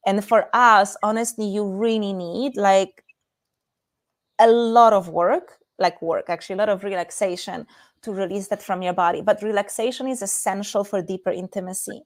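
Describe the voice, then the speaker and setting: A woman's voice: medium pitch, steady tone, moderate pace. A woman from Poland with a Polish accent, speaking as an expert guest in an interview and explaining things conversationally with examples. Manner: explanatory, illustrates points with examples. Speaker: guest intimacy and tantra expert.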